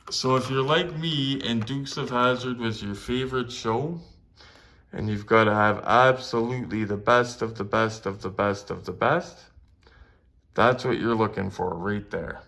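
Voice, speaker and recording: A Canadian man speaks in a medium-pitched voice as he hosts a casual collectibles review. He addresses viewers directly and sounds keen on the subject. He often repeats phrases to drive a point home. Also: fairly bright tone. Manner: enthusiastic, repeats phrases for emphasis. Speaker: collectibles video host.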